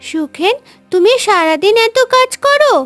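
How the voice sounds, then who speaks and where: A curious, kindhearted little girl voiced by a woman with an extremely high voice. She sounds sweet and pleading, in animated storybook style, over light music. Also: a little slow, full character voice. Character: kind, curious little landlord's daughter. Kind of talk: Bengali animated story voice acting.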